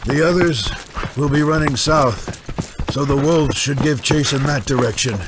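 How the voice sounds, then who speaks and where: An actor playing a decisive soldier guiding companions in a fantasy audio drama. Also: male voice, a little high, unhurried, background noise. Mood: urgent, assured.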